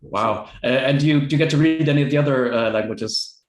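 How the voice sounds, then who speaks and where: Male moderator, middle pitch, echoey room, reads steady, kinda modest. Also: brisk. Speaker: moderator and translator. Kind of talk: moderating a book talk, reading a translation. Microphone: video call.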